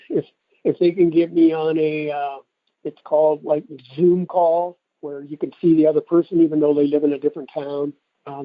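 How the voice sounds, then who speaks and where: A man's voice: fairly high pitch, no room sound. A middle-aged American man giving an informal, rambling testimonial sales talk over a telephone conference line. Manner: folksy, rambling, conversational. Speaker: product promoter giving a testimonial on a conference call. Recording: tinny band-limited phone line.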